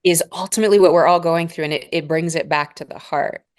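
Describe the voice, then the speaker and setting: Guest role: woman in her forties from Northern Alberta, low voice, calm unhurried spiritual talk. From Northern Alberta, Canada. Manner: slow, measured, spiritual phrasing with pauses. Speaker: podcast guest, spiritual mentor. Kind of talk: reflective interview conversation.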